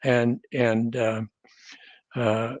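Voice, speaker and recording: Measured older guy in his seventies, dry humor, lots of restarts, dead dry room sound. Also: mid-range voice, level tone, dry recording. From North Dakota. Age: 70s. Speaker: guest. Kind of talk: casual conversation, storytelling.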